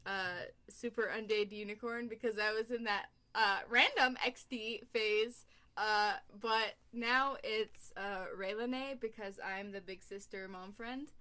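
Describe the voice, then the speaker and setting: A woman's voice: medium pitch, fairly even intonation, measured pace, pushed and bright. A young woman chatting casually with friends, rambling and filling gaps with um and uh, often giggling. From United States. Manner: rambling with frequent fillers and giggles. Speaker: cover singer. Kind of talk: casual group chat.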